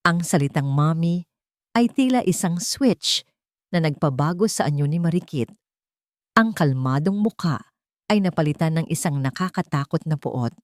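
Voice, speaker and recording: Woman doing dramatic story narration, lowish voice, solemn n suspenseful, dry recording. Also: animated, moderate pace, a little mellow, no echo. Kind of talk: dramatic scripted narration of a love and family story.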